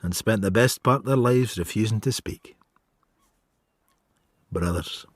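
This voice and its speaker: An actor playing a brooding, wry Scottish police detective in a radio drama, with a husky voice. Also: male voice, on the low side, unhurried, no room sound, clean studio sound. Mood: brooding, reflective. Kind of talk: radio drama acting with reflective narration.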